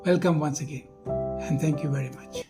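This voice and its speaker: A man presenting a simple explanatory talk to viewers in a deliberate, emphatic, teacherly manner. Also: fairly high pitch, over loud music.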